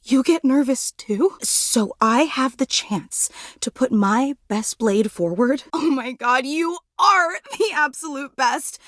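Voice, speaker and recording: A woman's voice: high voice, slow pace, fairly bright tone, dry recording, studio quality. A woman voicing a nervous, starstruck female electric fan in a video game, gushing and rambling in a flustered, dramatic way. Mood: elated. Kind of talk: animated video game character voice acting.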